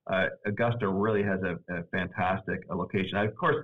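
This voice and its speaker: A middle-aged man from Nova Scotia with a Canadian accent, a university provost chatting as a podcast guest, halting with many fillers and restarts. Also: deep voice, steady tone. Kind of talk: casual podcast interview. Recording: tinny phone-like line.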